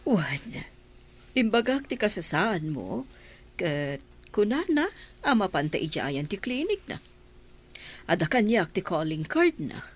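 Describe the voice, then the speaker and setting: A woman's voice: medium pitch, very animated, takes it slowly, pauses often. A woman acting a concerned family matriarch in an Ilocano radio comedy drama. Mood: encouraging. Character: concerned, slow-spoken mother and grandmother.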